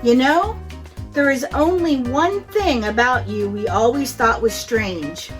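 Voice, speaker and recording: Casting: earnest storybook boy, high-pitched, open and friendly, acted by a woman, over music. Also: slow. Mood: curious. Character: friendly, frank young boy, kind and concerned. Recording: music behind the voice.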